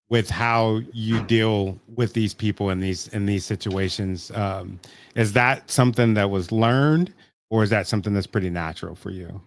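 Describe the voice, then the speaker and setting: A man's voice: on the low side, slight background noise. A man hosting a podcast interview, enthusiastic and admiring, reacting with frequent "wow"s and restarting his questions. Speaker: podcast host. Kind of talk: casual interview talk.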